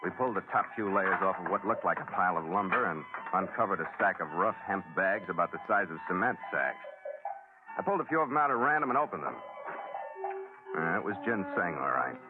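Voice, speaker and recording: A tough, wry sea captain with an American accent and a low voice. He talks fast and terse in hardboiled radio style. It sounds like an old 1940s broadcast, thin and phone-like. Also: expressive. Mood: grim narrating. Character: tough, dry ship captain narrating his own adventure. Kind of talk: 1940s radio adventure acting, hardboiled narration. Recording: phone-like 1940s radio recording, loud music.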